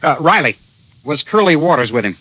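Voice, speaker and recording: An actor playing a practical, protective American police lieutenant in classic radio drama, speaking in a brisk, plain-spoken way. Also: male voice, a little high, big pitch swings, no room sound. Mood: businesslike. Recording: noisy, muffled vintage radio recording.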